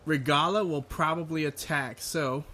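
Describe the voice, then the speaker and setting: Sick bright-voiced man with a nasal cold. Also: on the high side, fairly even intonation, moderate pace. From Texas. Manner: rambling, chatty. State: sick, nasal, slight cough. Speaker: gaming video host. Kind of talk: casual let's play commentary.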